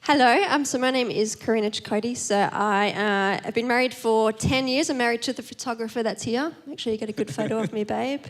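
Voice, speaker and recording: A woman's voice: on the high side, brisk, hardly any pauses. A woman speaking on a church panel in a cheerful, playful way. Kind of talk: panel conversation. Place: church stage at a live service.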